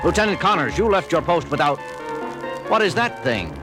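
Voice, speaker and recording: An actor playing a commanding, thoughtful starship captain in a 1970s audio drama, with clipped orders and earnest delivery, on a vintage record. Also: male voice, fairly high pitch, very animated, moderate pace, over loud music. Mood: urgent.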